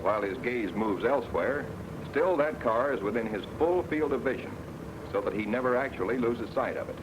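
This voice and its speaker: A man's voice: mid-range voice, animated, fairly quick. An American man gives plain-spoken, explanatory 1940s instructional film narration as a flight instructor, addressing the learner directly. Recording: noisy 1940s film soundtrack, aircraft drone.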